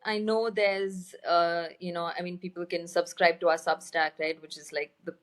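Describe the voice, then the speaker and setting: Low-voiced woman interviewing casually. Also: little pitch movement, normal speed. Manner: informal, loosely phrased questions. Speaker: podcast interviewer.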